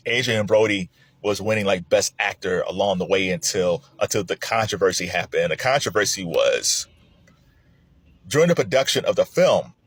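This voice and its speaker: Guy rambling about movie awards, kinda husky, dry close-mic sound, gets excited then drops low, laughs a lot. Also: dry recording. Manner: animated, chatty, laughs often, swings between excited highs and low muttering. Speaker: film commentator. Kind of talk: casual spoken commentary.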